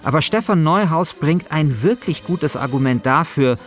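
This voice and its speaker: A man's voice: on the high side, expressive, moderate pace, soft background music. A man hosting a podcast, reading scripted narration in a wry, tongue-in-cheek manner.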